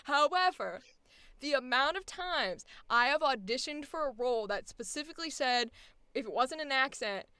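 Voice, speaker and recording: Animated, bright-voiced woman voice actor. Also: sits high, moderate pace, clear, resonant tone, no room sound, clean studio sound. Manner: animated, swears a lot, laughs often. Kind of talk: casual chat.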